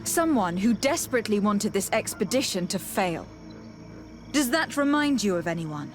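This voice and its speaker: An actress voicing a composed, diplomatic noblewoman investigator in a video game, speaking in a measured, formal way. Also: medium pitch, very animated, close to an everyday voice. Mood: firm, accusing. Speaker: game character voice actor. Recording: background music behind the voice.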